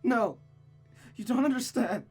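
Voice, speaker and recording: A woman's voice: fairly high pitch, expressive, measured pace, dark tone, husky, faint music behind, pitched above the performer's own voice. A woman voicing a frightened, distraught young woman who stammers and pleads as she unravels, in a dramatic visual novel reading. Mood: frightened, distressed.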